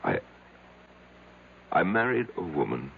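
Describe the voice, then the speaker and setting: Meek lovestruck husband, gravelly, halting, swooping intonation, muffled old radio recording. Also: male voice, sits low, very animated, a little hiss or hum, full character voice. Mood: halting, haunted. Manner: halting, self-deprecating, intense. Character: short, insignificant, lovestruck, haunted male psychiatric patient. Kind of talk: old-time radio drama acting. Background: music.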